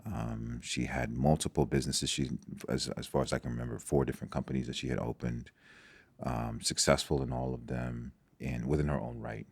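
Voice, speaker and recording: A man's voice: rumbling low, fairly even intonation, brisk, vocal fry, darkish tone, slightly rough, dry recording. A Nigerian-born man whose first language is Igbo, a guest in an interview, talking reflectively and often restarting his sentences. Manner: reflective, with many restarts and repeated words. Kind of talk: casual interview talk.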